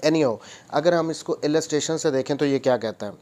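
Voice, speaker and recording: A man's voice: fairly high pitch, fairly quick, darkish tone. A man with a Pakistani accent lecturing on statute, reading out and then explaining in a repetitive, bilingual way. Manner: explanatory, repeats and rephrases points, switches between Urdu and English. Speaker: law lecturer, advocate.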